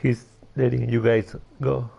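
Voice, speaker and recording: A man casually reacting as he streams a video game, in a creaky, mellow voice. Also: mid-range voice, flat delivery, measured pace. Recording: dull lo-fi mic over game music.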